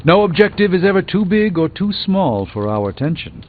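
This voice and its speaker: An actor playing a proud, learned middle-aged sorcerer superhero in a video game, with formal, pompous and erudite phrasing. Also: male voice, sits high, very animated, moderate pace, phone-quality sound, slight background noise. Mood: measured, assured.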